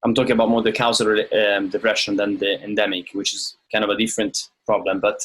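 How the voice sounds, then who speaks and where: A man's voice: lowish voice, little pitch movement, fast talker, fairly bright tone, husky, poor audio. A man living in London speaking reflectively in a casual podcast conversation, laying out his thoughts in full. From lives in London.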